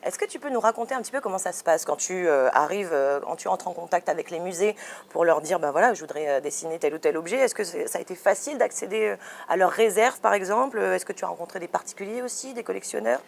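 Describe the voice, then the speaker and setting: A woman's voice: on the low side, animated, fast talker, creaky, slight background noise. A woman hosting an interview, asking questions attentively and teasing her guest in a friendly way. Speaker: interviewer host. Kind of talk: interview conversation.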